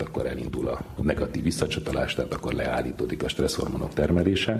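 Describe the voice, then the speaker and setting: A middle-aged man, a stress researcher, calmly explaining science in an interview. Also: rumbling low, gravelly. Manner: measured, explanatory.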